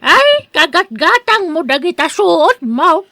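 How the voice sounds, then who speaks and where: An actress plays a needy village mother in a Filipino radio drama, with an Ilocano accent. The voice is extremely high, bright and sharp. She speaks with deferential, grateful exclamations in a dry recording. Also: sing-song, takes it slowly, no room sound, heavily acted. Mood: admiring.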